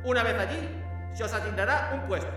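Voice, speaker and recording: A man's voice: high-pitched, fast talker, lightly acted. A man playing a domineering naval ensign who barks orders at his crew, in an audio drama with music underneath. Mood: commanding. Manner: barking commands, contemptuous. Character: harsh male Francoist naval ensign, domineering. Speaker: officer giving orders.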